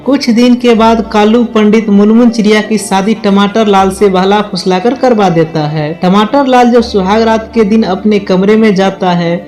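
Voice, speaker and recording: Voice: medium pitch, fairly even intonation, moderate pace, few pauses, a little mellow. Cartoon story narrator over faint music. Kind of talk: scripted children's story narration.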